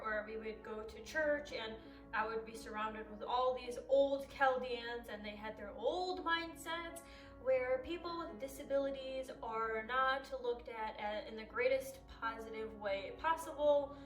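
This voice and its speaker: A young woman in her twenties, a native Arabic speaker raised in the United States, talks openly to her camera with a high, bright pitch. She chats easily and laughs often. The sound is roomy and a little distant from the mic, with faint music underneath. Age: 20s. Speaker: vlogger. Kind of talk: candid personal talk to camera.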